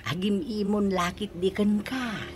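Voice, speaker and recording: An actor playing a boastful old pensioner with a young wife in a comedy radio drama. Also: male voice, high voice, a little slow, very noisy. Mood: smug, teasing. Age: older. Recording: radio drama with music underneath.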